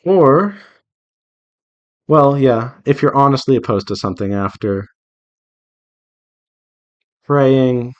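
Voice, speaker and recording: A man's voice: medium pitch, lively intonation, slow, long pauses, dull audio. A man talking casually and earnestly in a rambling, advice-giving way, like an artist chatting while working. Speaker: visual novel developer and artist hosting a drawing devlog.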